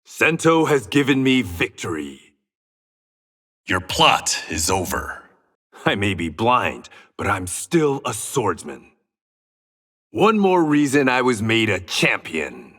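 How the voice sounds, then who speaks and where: An actor playing a proud, resolute blind swordsman in a video game, with a husky voice and a terse, defiant delivery. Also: fairly low pitch, big pitch swings, slow pace, a bit bright, full character voice. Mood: stern, defiant. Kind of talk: video game combat voice lines.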